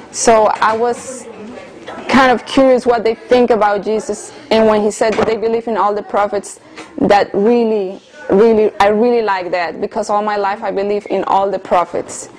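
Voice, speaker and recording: Woman around 30, earnest and slow, telling her story in an interview, medium voice. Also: mid-range voice, fairly even intonation, a faint crowd, low-quality recording. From Colombia. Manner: earnest, unhurried, with soft hesitations. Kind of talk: personal testimony in conversation.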